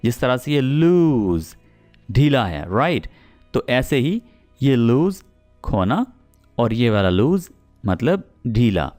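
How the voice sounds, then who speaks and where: A man with a Pakistani accent teaching spoken English, explaining patiently and repeating example sentences slowly. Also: mid-range voice, big pitch swings, a little mellow, faint music behind.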